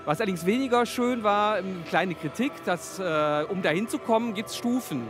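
Teacher guy being interviewed, slightly high voice, lots of uhs, music going behind. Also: lively intonation, moderate pace. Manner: hesitant, many fillers. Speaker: special-school teacher. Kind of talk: interview answers.

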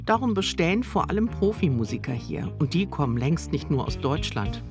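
Lively-voiced reporter over guitar music. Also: mid-range voice, a little fast. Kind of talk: scripted narration.

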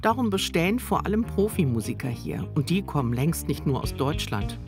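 A woman's voice: low-pitched, big pitch swings, fairly quick. A woman reading scripted narration for a television feature. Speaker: TV report narrator. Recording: music bed under the voice. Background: guitar music.

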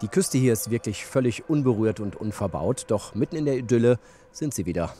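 A man's voice: medium pitch, expressive, fairly quick, dark tone. A man narrating a travel documentary in scripted reporter style. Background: background music.

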